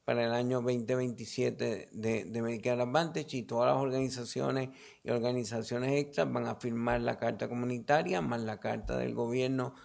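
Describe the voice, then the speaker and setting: Gravelly Puerto Rican official, halting delivery. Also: male voice, medium pitch, steady tone, a little mellow. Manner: halting, repeats words mid-sentence. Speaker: health official giving a press briefing. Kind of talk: press briefing answers, semi-formal.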